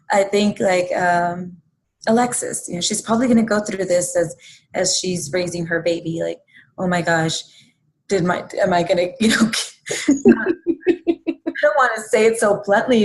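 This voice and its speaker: A woman's voice: medium pitch, slight background noise, rough recording. A woman guest in a casual interview, earnest and reassuring, often laughing between thoughts. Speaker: guest mother.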